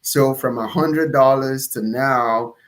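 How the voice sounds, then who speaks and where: A man's voice: medium pitch, slow, a bit bright. A man from Nigeria with a Nigerian accent, speaking as an interview guest in an emphatic, conversational storytelling way. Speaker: interview guest, entrepreneur and pastor.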